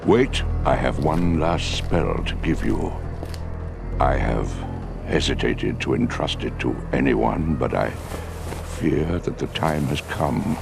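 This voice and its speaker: A man playing an ancient, grave orc shaman with a very deep gravelly voice in an animated game. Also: slow, exaggerated voice. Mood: grave, hesitant. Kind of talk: animated adventure game voice acting. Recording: music behind the voice.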